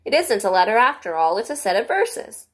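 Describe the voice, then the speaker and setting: Nervous male rabbit, woman's voice acting, higher pitched, polite, hurried, storybook character. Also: big pitch swings, a bit bright, slightly rough. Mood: earnest, polite. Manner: anxious, eager, polite.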